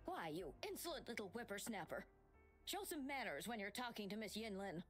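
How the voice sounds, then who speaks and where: A woman's voice: medium pitch, lively intonation, a little fast, fairly bright tone, faint music behind, close to an everyday voice. An actress playing a bossy, indignant old village woman who nags in folksy speech in a video game. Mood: indignant scolding. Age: older. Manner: indignant, nagging.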